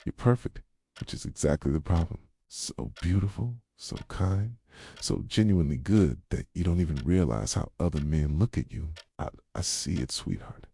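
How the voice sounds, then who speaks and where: A very low, close and dry male voice of an actor as an obsessively devoted boyfriend. He talks softly in a brooding, confessional ASMR style, sometimes dropping to a whisper, with lively swings in pitch as tenderness mixes with possessiveness. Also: moderate pace, vocal fry, husky, no room sound, exaggerated voice. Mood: tender, jealous. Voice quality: soft, low, slipping into whispers. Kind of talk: intimate boyfriend roleplay ASMR.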